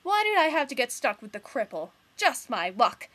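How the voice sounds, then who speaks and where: A woman performs a high-pitched young troll girl in fandub style. She is bossy and theatrical, mocking whoever she talks to with impatient, sarcastic bite. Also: very animated, moderate pace, noisy background. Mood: exasperated, angry. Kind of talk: amateur fandub audition acting.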